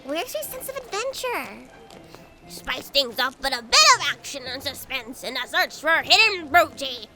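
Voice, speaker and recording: A woman voicing a silly, excitable young female bunny in a cartoon comedy, playful, theatrical and flighty, full of imagination. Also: high-pitched, moderate pace, low-quality recording. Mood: excited, theatrical. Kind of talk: animated visual novel comedy dialogue. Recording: music behind the voice.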